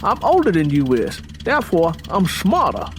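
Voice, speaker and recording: A man's voice: high voice, huge pitch swings, normal speed, a little hiss or hum, pitched above the performer's own voice. A man voicing a boastful, domineering Southern man in an audiobook, scolding and self-important with a Southern US accent. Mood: angry, scolding.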